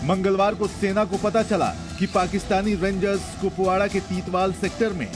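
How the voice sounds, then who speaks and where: A man's voice: sits high, little pitch movement, moderate pace. An Indian man reading a scripted television news report as a voice-over. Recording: voice-over with prominent music bed.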